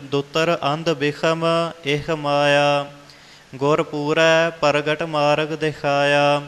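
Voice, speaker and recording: A man reciting scripture in a slow, measured chant. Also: on the high side, flat delivery, fairly bright tone, clear, resonant tone, long reverb tail.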